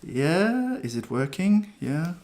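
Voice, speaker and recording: A man hosting a casual live numerology stream, soft-spoken and hesitant as he thinks aloud. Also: fairly high pitch, big pitch swings, takes it slowly. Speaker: numerologist host.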